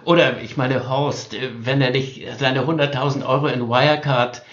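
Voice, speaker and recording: A man's voice: mid-range voice, fairly even intonation, moderate pace, fairly bright tone, a little hiss or hum. Musing man in a roomy, dull recording. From northern Germany. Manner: musing, teasing, many hesitations. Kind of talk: casual remote chat.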